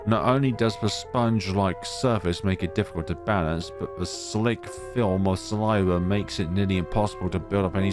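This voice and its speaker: A man reading a visual novel aloud in a dramatic way, adding asides and correcting his own stumbles. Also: low voice. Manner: theatrical, stumbles and corrects himself. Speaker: let's play host. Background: music.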